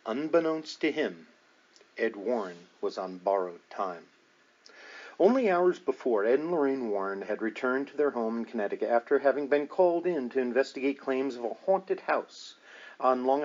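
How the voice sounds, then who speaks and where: Guy reading a spooky audiobook, dramatic storyteller, medium pitch, kinda muffled lo-fi sound. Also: darkish tone. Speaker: audiobook reader. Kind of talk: scripted narration of a paranormal book.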